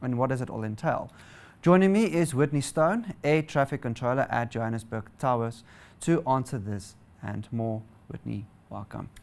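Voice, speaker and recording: A South African man hosts a broadcast interview. His voice is noticeably creaky, at an average pitch. He introduces and questions in a formal presenting style. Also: normal speed, darkish tone.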